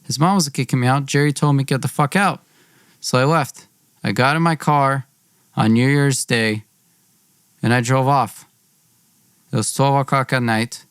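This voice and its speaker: A man's voice: mid-range voice, fairly even intonation, normal speed, long pauses, fairly bright tone, smooth, no echo, clean studio sound. Man telling a dramatic personal story. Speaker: podcast host.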